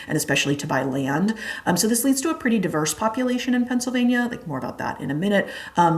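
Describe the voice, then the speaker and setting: A young woman teacher giving a casual exam review lecture, chatty and full of "like" and "um". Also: on the low side. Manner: chatty, many fillers like "like" and "um". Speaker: history teacher.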